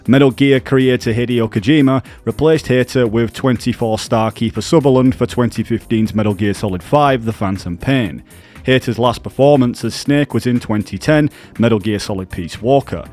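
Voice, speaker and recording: A man's voice: medium pitch, a little mellow. A man presenting gaming news as scripted video narration.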